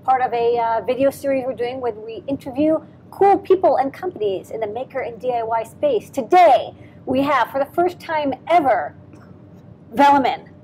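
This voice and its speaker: A woman's voice: sits high, animated, normal speed, mellow, slight background noise, poor audio. A woman hosting a casual, joking interview in a factory. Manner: upbeat, joking. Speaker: host.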